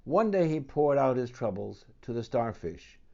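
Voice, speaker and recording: A man's voice: medium pitch, very animated, moderate pace, gravelly, dark tone, muffled recording. A man reading a picture book aloud for children as a storyteller and host.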